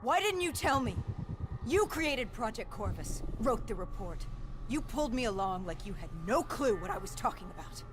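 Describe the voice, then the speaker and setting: A woman playing an intense, haunted soldier in a video game, speaking in a grave, hushed and halting way. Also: a little high, big pitch swings, faint music behind. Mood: shocked, accusing. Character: haunted, intense female soldier recounting the apocalypse. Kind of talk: dramatic video game voice acting.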